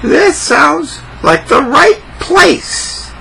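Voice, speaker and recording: Guy doing a squeaky high young lady on a phone date call, bright and eager. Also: expressive, extremely slow, creaky, a little hiss or hum, heavily acted. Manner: high squeaky falsetto, hesitant. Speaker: lady being asked out.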